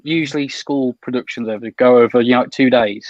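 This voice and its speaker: A man's voice: mid-range voice, fairly quick, darkish tone, no room sound, highs cut off. A young man telling a story on a video call, often stopping and restarting as he goes. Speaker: podcast guest.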